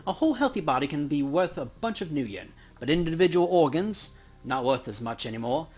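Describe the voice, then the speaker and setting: A man's voice: fairly high pitch, big pitch swings, brisk, darkish tone, husky. A man co-hosting a let's play, chatting casually and jokingly as he reads game dialogue aloud. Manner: jokey, playful.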